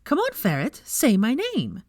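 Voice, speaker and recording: A woman's voice: medium pitch, wildly swooping pitch, normal speed, a little mellow, no echo, studio quality, voice pushed up. A woman voicing a playful, bossy young witch in an audiobook, insistent and exclaiming, with teasing false sweetness.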